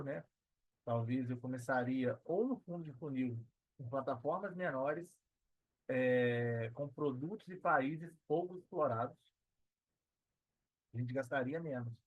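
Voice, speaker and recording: A Brazilian man giving casual, reflective interview answers as a podcast guest, pausing as he thinks and often adding tag questions. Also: medium pitch, no room sound, lo-fi sound. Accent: Brazilian Portuguese. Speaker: podcast guest, affiliate marketer.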